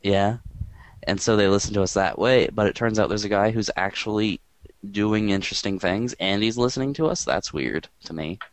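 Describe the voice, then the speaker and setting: A man hosting a podcast in casual chat, with a quiet, monotone voice and a rambling, dry way of talking. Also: on the low side, normal speed, dry recording. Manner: rambling and dry, filler-heavy. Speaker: podcast host.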